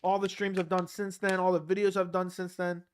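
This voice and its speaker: A man's voice: high-pitched, flat delivery, a little fast, clear, resonant tone. A man hosting a casual livestream, reading questions from chat and answering them bluntly while his throat is sore.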